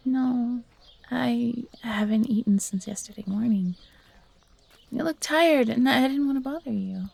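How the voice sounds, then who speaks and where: A woman voicing a young fox girl, timid but eager and grateful, soft and breathy, in a scripted audio roleplay. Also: on the high side, a little slow, heavily acted. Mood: sheepish, weary.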